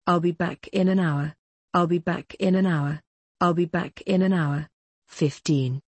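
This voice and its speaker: Female language teacher, lower register, husky tone, careful drill delivery. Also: a little mellow, no room sound. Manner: clear, careful delivery, each phrase repeated several times. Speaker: English phrase teacher.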